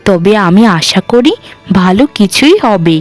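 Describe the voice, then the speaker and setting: A woman's voice: mid-range voice, expressive, unhurried, over music, lightly acted. A woman voicing a meek, sorrowful young new bride in a family cartoon, plaintive and pleading. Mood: weary, plaintive. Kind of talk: Bengali family cartoon dialogue.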